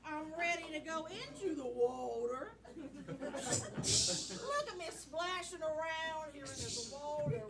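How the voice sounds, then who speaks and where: A woman playing a sugary, folksy Southern wife with a drawn-out Deep South accent in live improv comedy. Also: expressive, far from the mic, loud music behind the voice, exaggerated voice. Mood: sweetly unhurried. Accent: Deep South American. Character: slow-drawling sugary Deep South wife on dive trip. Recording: noisy live stage with audience.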